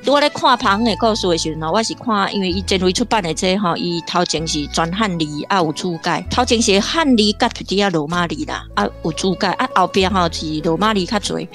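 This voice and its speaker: A woman's voice: lowish voice, brisk, few pauses, a bit bright, faint music behind. A woman with a Taiwanese accent giving conversational literary commentary in an explanatory way, like a guest academic.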